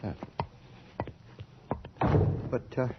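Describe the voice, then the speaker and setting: An actor playing a servile but proud working-class hairdresser, defensive and colloquial, in a radio drama. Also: male voice, drawn-out words. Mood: anxious, indignant. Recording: muffled, noisy radio drama recording.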